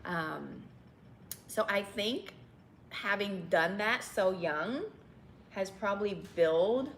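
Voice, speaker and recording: A woman's voice: medium pitch, takes it slowly, a little hiss or hum. A woman from Texas raised in Bangkok, a restaurant owner telling her story in a candid interview, with many ums and easy laughs. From Texas and Bangkok, Thailand. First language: Thai.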